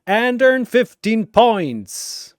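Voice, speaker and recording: A man's voice: very high voice, big pitch swings, very slow, clear, resonant tone, dry recording, studio quality, pitched above the performer's own voice, full character voice. A man voicing a cheerful, boastful cartoon robot cat built to entertain, with stilted, sing-song delivery.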